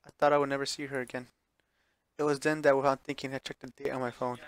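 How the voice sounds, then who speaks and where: A man reads a visual novel aloud for a live stream in a slightly low-pitched voice. He stumbles and corrects himself as he goes, dropping in offhand reactions. The sound is dry and close to the microphone. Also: level tone, quick pace, clean tone, no room sound. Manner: stumbles over words and corrects himself while reading.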